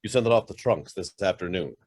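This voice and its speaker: A man's voice: sits low, expressive, fast talker, slightly rough, no room sound, clean studio sound. A man playing a curt, wary fugitive master who gives his valet clipped orders in a radio play. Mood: curt, guarded. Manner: clipped, commanding questions. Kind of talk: amateur radio play acting.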